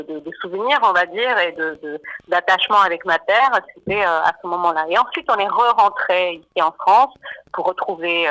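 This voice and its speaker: A woman's voice: on the low side, pushed and bright, poor audio. A woman from Argentina, singer and songwriter of a band, talking as a radio interview guest, hesitant with many fillers and repeated words. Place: radio studio.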